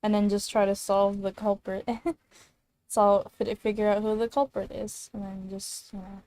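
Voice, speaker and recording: A woman's voice: mid-range voice, flat delivery, unhurried, dark tone, no room sound. A woman game streamer chatting casually on a livestream in a rambling, giggly way.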